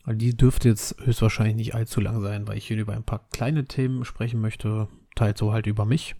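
A man's voice: fairly low pitch, moderate pace, vocal fry. A man, chatting casually and rambling on a solo podcast while he has a cold. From Berlin, Germany. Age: 30s. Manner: relaxed, rambling, many fillers and restarts. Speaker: podcast host.

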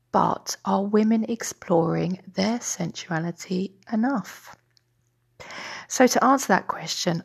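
A woman hosts a podcast, reading her introduction in a measured, breathy manner that sometimes drops almost to a whisper. Her voice has a husky, raspy edge with a gravelly fry underneath. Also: lowish voice, a little mellow. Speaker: podcast host. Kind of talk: scripted podcast introduction and moderation.

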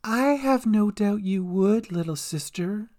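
A man's voice: high voice, expressive, slow, darkish tone, pitched above the performer's own voice. A man voicing a monstrous one-eyed female water hag in a storybook, speaking with a mock-sweet, taunting menace. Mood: mocking, menacing. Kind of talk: storybook character voice.

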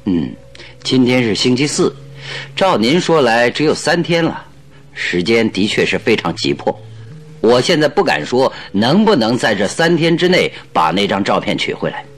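Male detective, husky, lively intonation, shrewd, musing, playful, self-assured, radio drama. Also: medium pitch, moderate pace. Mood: amused, composed. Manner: musing, amused.

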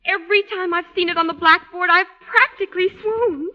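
Lovestruck American teen schoolgirl, very high voice, gushing and melodramatic, 1940s radio comedy, muffled old recording. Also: brisk. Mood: gushing, excited. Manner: breathless, swooning, melodramatic. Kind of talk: 1940s radio sitcom acting. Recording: dull old radio broadcast.

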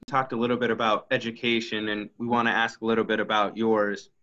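Guy host reading prepared questions, bright tone, dull lo-fi recording. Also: lowish voice, flat delivery, quick pace. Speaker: podcast host. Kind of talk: interview questions. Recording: dull lo-fi sound.

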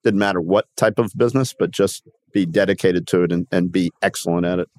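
Low-voiced Cuban-born man in his sixties. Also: brisk, a little mellow, no room sound. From Miami, born in Cuba. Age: 60s. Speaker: podcast guest, company founder. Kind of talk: conversational interview storytelling.

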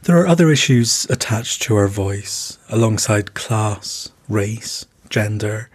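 A man hosting a podcast, narrating calmly and asking guests questions. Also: lowish voice, takes it slowly, husky. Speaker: podcast host.

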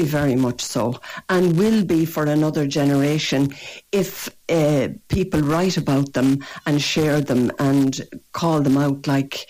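Slow, low-voiced Irish woman reading poems. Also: low-quality recording. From rural northwest Ireland. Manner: measured, gentle reading. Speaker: poet and guest. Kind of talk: slow poetry reading and interview answers. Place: radio studio or phone line.

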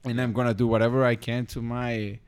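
A man's voice: medium pitch, little pitch movement, brisk, clear, resonant tone. A young adult man, a non-native English speaker, talking casually with friends on a podcast. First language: not English. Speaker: podcast participant. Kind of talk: casual conversation among friends.

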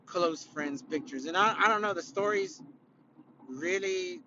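A man from California with a raspy, tired voice hosting a casual solo podcast, rambling and swearing, full of fillers and restarts. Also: sits high, measured pace, highs cut off, noisy background. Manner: rambling, profane, opinionated, with many fillers and restarts. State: raspy, worn-out voice after concerts. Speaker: podcast host.

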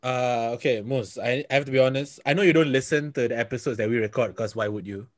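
A man's voice: medium pitch, fast, no room sound, clean studio sound. A man co-hosting a podcast over a video call, chatting casually, laughing at himself and using lots of fillers. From Singapore. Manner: laughing, self-deprecating, chatty with filler words. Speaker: podcast co-host. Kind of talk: casual chat. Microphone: handheld microphone over a video call.

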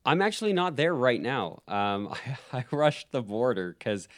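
A young man from Alberta with a Canadian accent, chatting casually as a guest, relaxed and quick to laugh, with frequent ums and restarts. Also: medium pitch. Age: young adult. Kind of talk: casual conversation.

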